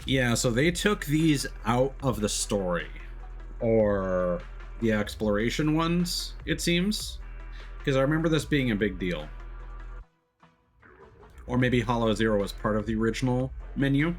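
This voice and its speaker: A man's voice: medium pitch, animated, unhurried, faint music behind. A man streaming a video game, commenting live and reading on-screen text aloud.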